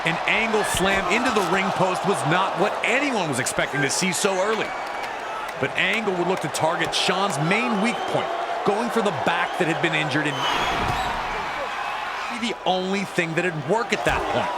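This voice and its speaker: A man narrating wrestling action from a script in a dramatic, emphatic manner. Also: sits high. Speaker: sports narrator. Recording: loud crowd noise behind voice. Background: roaring arena crowd.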